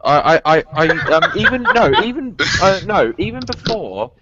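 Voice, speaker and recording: A man with a British accent in a casual group chat, excitable and swearing, with many stammered restarts. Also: high voice, moderate pace, highs cut off, a loud crowd. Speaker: podcast co-host.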